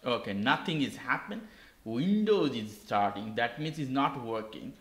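A man's voice: mid-range voice, animated, measured pace, fairly bright tone, roomy sound, slight background noise. A man hosting a tech tutorial, walking through a laptop reset step by step in simple, non-native English. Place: indoor desk.

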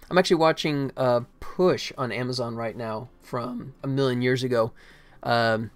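A man's voice: mid-range voice, clean tone. Middle-aged male, indie dev streamer. Loose, self-deprecating rambling, music underneath. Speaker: indie game developer livestreamer. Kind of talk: casual livestream chat. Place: indoor room at a computer. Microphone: desktop microphone.

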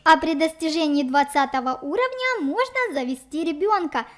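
A woman's voice: sits high, animated, normal speed. A woman co-hosting game commentary for children in a chatty, exclamatory way, talking to the viewers. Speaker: co-host of a children's let's play.

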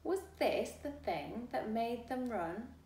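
A middle-aged woman reads a children's storybook aloud in a deliberate, expressive manner, recorded in a living room from a few feet away. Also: fairly high pitch. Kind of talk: read-aloud storytime narration. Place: small living room, indoors. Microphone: camera a few feet away. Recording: roomy, distant mic, faint hiss.

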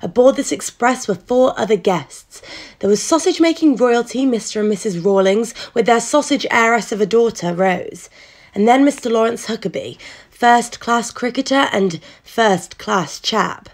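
A woman's voice: fairly high pitch, moderate pace. A woman playing a wry storyteller, narrating a period murder mystery radio play. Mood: wry narration.

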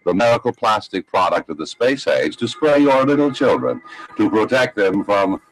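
A man's voice: on the low side, animated, moderate pace, poor audio. A man doing a hammy spoof soap announcer. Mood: mock-grand. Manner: overblown announcer delivery. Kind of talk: 1950s-60s radio comedy spoof. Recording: archival radio clip.

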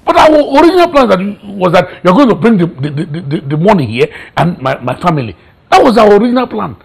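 A man's voice: high-pitched, sing-song, brisk, gravelly, slightly rough, full character voice, low-quality recording. A man acting a desperate, betrayed uncle in a film melodrama, with a Nigerian Igbo accent, stammering and repeating himself. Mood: confused, dismayed. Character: desperate, panicking uncle betrayed by his nephew, in hiding.

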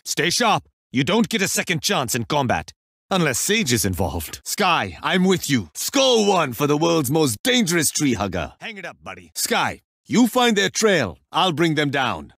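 A man's voice: on the high side, a bit bright, slightly rough, dry recording, studio quality, heavily acted. A man with an Indian accent voicing a confident, playful young warrior in a video game, with teasing, theatrical delivery. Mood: rallying, confident. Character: confident, playful male warrior agent wielding water artifact. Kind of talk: video game character voice lines.

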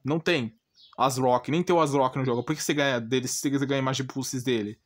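A man's voice: medium pitch, fairly even intonation, fast. A man with a Brazilian Portuguese accent gives an informal, blunt rant, exasperated and repeating himself with rhetorical questions. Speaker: gaming channel host.

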